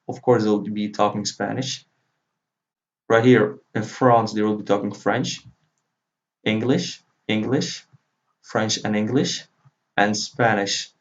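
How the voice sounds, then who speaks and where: A man whose native language is Dutch, giving an informal screen-recorded software tutorial as he walks through each step. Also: lowish voice. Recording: dull lo-fi mic, faint noise. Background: computer keyboard typing and clicking.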